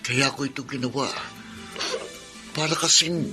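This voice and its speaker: A man's voice: medium pitch, a little slow, music in the background, natural voice. A man playing a kind, earnest neighbor. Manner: gentle, coaxing and earnest. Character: kindly middle-aged male neighbor, earnest. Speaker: Dado, the neighbor. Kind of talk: Filipino radio drama acting.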